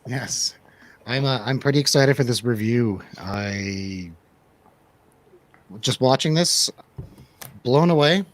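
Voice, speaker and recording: A man's voice: mid-range voice, slow pace, long pauses. A man hosting a casual movie review radio show.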